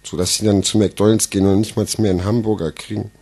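A man's voice: lowish voice, little pitch movement, fast, dark tone. A man performing comic slam poetry live on stage into a microphone, with a theatrical, emphatic delivery.

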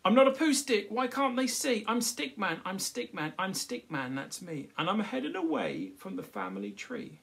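An indignant little storybook stick man, acted out by a man. The pitch jumps up high and swings widely as he protests in rhyme, exasperated and plaintive. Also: very animated, normal speed, creaky, few pauses, a bit bright, heavily acted. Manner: exclaiming in rhyming protest.

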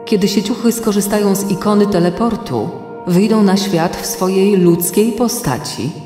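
A woman playing a calm, watchful artificial intelligence mentor in a children's radio play, serious and deliberate, giving warnings. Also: mid-range voice, lightly acted. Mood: grave. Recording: echoey long reverb, music underneath.

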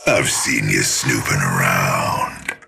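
An actor playing a menacing, sadistic robot assassin in a video game, taunting and threatening in a growl. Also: male voice, lively intonation, takes it slowly, over music, exaggerated voice. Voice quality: deep, gravelly growl. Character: menacing robot assassin, sadistic, three-hundred-year-old machine. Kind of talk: video game character voice lines.

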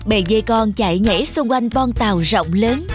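A woman's voice: a little high, moderate pace. A woman giving scripted narration of a children's story. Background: music.

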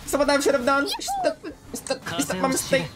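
A game streamer giving casual, excitable live commentary, often repeating short phrases. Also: sits high, quick pace. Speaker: livestreamer playing a game.

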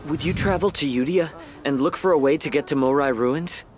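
An actor playing an earnest, gentle priest healer in a video game. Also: male voice, a little high, a little fast, close to an everyday voice. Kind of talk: video game character acting. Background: music.

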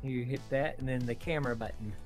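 A man's voice: mid-range voice, quick pace, darkish tone, lightly acted. A man plays an easygoing, joking dad in an online game roleplay. He sounds casual as he chats with his family, with music underneath. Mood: patient, helpful. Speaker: player voicing the father in a family roleplay.

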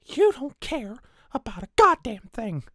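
A woman's voice: fairly high pitch, sing-song, a little slow, sharp tone, no echo, clean studio sound, exaggerated voice. A woman voicing a bitter, defensive, world-weary character in a roleplay, with a casual, sardonic way of talking. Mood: accusing. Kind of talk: naturalistic roleplay voice acting.

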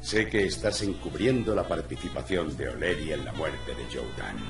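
An actor playing a blunt, world-weary cat private detective in a video game, with a gravelly voice. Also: male voice, rumbling low, normal speed, music in the background. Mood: accusing. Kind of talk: video game voice acting, noir.

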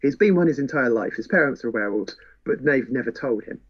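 A man's voice: medium pitch, expressive, fast talker. A man, co-hosting a podcast in a casual book discussion. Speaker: podcast co-host. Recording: dull, lo-fi sound.

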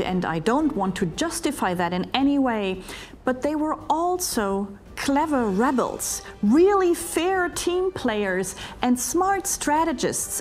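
A middle-aged woman delivers a scripted pitch for her keynote with a fairly high, lively voice that rises and falls a lot. She speaks slowly and deliberately, leaning on dramatic pauses. Faint music plays under her studio lapel recording. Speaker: keynote speaker and leadership coach. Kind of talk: scripted promotional monologue to camera. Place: studio before a green screen, edited onto a virtual ship deck. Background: faint music bed.

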